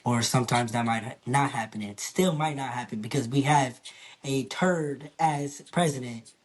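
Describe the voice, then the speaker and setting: A young man talking candidly in a casual podcast chat, open and earnest, his voice a little hoarse. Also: medium pitch, moderate pace, slight background noise. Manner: earnest, open, rambling with fillers. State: slightly hoarse. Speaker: podcast guest.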